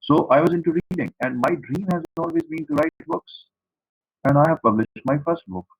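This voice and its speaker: A man's voice: a little high, huge pitch swings, brisk, creaky, husky, poor audio. A man from Pakistan, a native Saraiki speaker with a Pakistani accent, giving an online class lecture to students and repeating his points as he explains. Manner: repetitive, explanatory, addresses students directly. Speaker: university lecturer.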